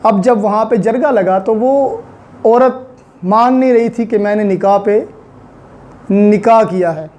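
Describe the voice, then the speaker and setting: A man's voice: sits high, measured pace, a little mellow. A man with a Pakistani accent giving an explanatory law lecture, didactic, restating his points and mixing in English terms.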